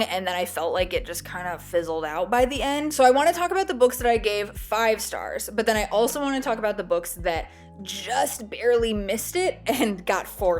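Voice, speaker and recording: A woman with a slightly stuffy, cold-recovering voice, talking casually as a book review vlogger in a chatty, self-deprecating way. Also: medium pitch.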